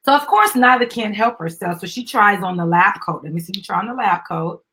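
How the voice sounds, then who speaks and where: A woman's voice: medium pitch, brisk, sharp tone, husky. A woman doing a live dramatic reading of a children's book as host, with chatty, upbeat asides and encouraging prompts to a small child.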